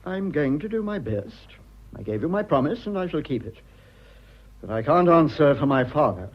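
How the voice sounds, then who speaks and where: An actor playing a prim, fussy, earnest son with an upper-class English accent in a radio drama. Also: male voice, fairly high pitch, big pitch swings, moderate pace, lo-fi sound. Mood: anxious, aggrieved. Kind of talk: stage comedy of manners radio acting.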